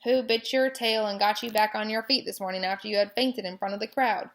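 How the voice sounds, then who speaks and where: A woman's voice: medium pitch, fairly even intonation, fairly quick, lightly acted. Storybook rat: woman acting out a lazy, sarcastic male rat, grumbling and mocking.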